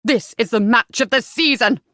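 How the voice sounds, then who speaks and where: A woman voicing an angry, blustering middle-aged gentleman father in a historical romance audiobook, stern and accusing. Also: a little high, moderate pace, dry recording, clean studio sound. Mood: furious. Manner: barking, spitting, stern.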